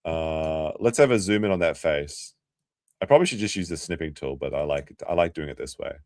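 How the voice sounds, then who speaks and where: A man's voice: rumbling low, animated, fairly quick, darkish tone, dry recording, clean studio sound. An Australian man hosting a casual livestream, chatty and sarcastic, reacting to clips and laughing often.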